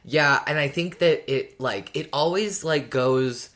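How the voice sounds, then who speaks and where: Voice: mid-range voice, unhurried, a bit bright. A podcast speaker in a casual fan discussion, thinking aloud in a hesitant, reflective way. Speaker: podcast co-host or guest discussing an audio drama.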